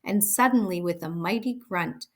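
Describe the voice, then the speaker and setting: A woman reading a children's story aloud as expressive scripted narration. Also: medium pitch, normal speed. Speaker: storybook reader.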